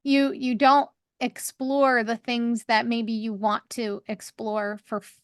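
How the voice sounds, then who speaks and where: A woman with a higher voice, co-hosting a podcast and talking openly in a rambling, candid way. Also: flat delivery, slow, clear, resonant tone, no echo, studio quality. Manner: rambling, restarts, laughs often. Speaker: podcast co-host. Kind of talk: candid conversational chat.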